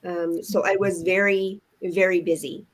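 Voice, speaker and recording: Older woman, from canada, earnest, thoughtful, pausing storyteller, live chat. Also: on the low side, little pitch movement, poor audio. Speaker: online business owner, co-host. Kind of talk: casual chat.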